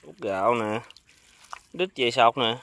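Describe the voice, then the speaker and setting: A man's voice: medium pitch, measured pace, a bit bright. A man with a southern Vietnamese accent chatting casually from behind a handheld phone outdoors, often repeating short phrases. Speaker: vlogger filming shrimp and snail catching. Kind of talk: casual chat while filming. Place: outdoors on a muddy pond bank. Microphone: handheld phone, speaking from behind the camera.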